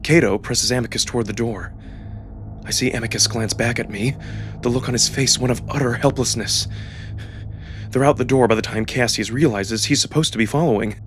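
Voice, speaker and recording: A man giving a suspenseful, expressive first-person narration of a dramatic story. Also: mid-range voice, brisk. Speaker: narrator and voice performer. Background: background music.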